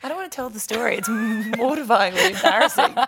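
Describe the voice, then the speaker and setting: A woman's voice: a little high, lively intonation, fast talker, vocal fry, a bit bright. A woman co-hosting a casual chat podcast, telling stories in a wry, self-deprecating way. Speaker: podcast co-host.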